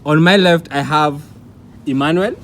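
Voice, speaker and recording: A man's voice: on the high side, a little slow, a bit bright, slight background noise. A man hosting a vlog, presenting formally and interviewing his guests. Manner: formal, announcer-like delivery. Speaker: vlog host.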